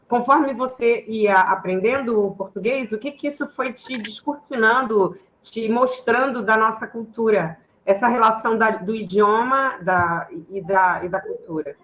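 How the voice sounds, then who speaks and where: A woman's voice: on the high side, moderate pace, a bit bright, poor audio. A woman co-hosting a livestream, interviewing a guest with thoughtful questions. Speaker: co-host.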